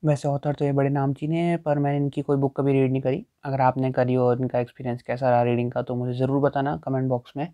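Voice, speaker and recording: A man's voice: medium pitch, little pitch movement, fast, few pauses, warm tone, dry recording. A young man casually walking through a bookstore, chatting and thinking aloud as he browses the shelves. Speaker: booktuber. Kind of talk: casual walkthrough vlog. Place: small indoor bookstore with packed shelves.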